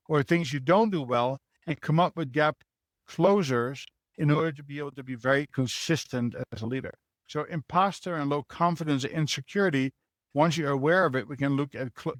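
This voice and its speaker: A man's voice: fairly high pitch, no room sound. A man with a Dutch accent answering interview questions as a leadership mentor, explaining earnestly and often adding a rhetorical "right?". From Netherlands.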